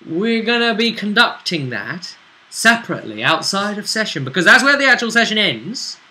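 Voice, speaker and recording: A man's voice: sits high, big pitch swings, normal speed, sharp tone, poor audio. A man hosting as game master, chatting casually as he recaps a tabletop roleplay campaign. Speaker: game master and show host.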